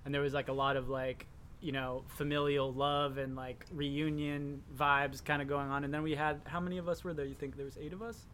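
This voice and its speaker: Higher-voiced emphatic man telling stories casually. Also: little pitch movement, a little fast, fairly bright tone, a little hiss or hum. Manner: emphatic, interjecting, informal. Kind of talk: casual animated storytelling.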